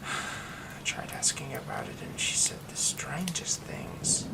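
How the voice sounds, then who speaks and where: A man voicing a haunted young man hiding a werewolf curse, speaking in a hushed, halting way that often drops to a whisper. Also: medium pitch, vocal fry, rough recording. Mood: nervous, haunted. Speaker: ASMR roleplay performer. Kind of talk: ASMR horror roleplay.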